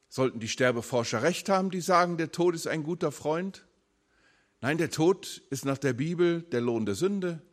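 Earnest German preacher, man. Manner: earnest, emphatic, drops low and slow on key words. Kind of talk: sermon.

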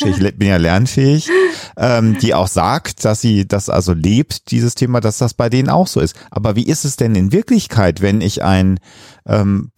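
A man with a German accent hosts a casual interview, plain-spoken and a little halting as he restates things for listeners. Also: on the low side, mellow, no echo, studio quality. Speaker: podcast host, interviewer.

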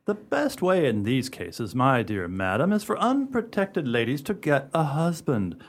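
A woman acts a meddling gentleman doctor in a radio drama, low in pitch and pompous. The formal phrasing rises and falls with plenty of animation. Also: sing-song, unhurried, full character voice. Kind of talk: radio play acting.

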